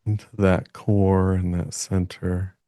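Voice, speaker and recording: A man gently guides a meditation in a soft-edged, mellow voice on the low side. He speaks very slowly, in short halting phrases with long pauses. The sound is dry and close, free of room echo. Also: flat delivery.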